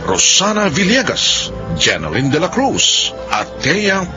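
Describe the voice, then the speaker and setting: A man's voice: sits high, wildly swooping pitch, takes it slowly. A man announcing the close and credits of a radio drama in a dramatic, proclaiming way. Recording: lo-fi radio, loud music behind.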